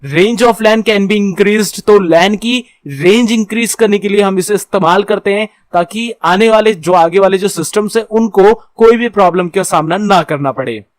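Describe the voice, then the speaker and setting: A man with an Indian accent giving an explanatory tutorial as an instructor, reading points out slowly and then explaining them informally with repetition. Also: high-pitched, no echo. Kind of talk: explanatory tutorial lecture mixing Hindi and English.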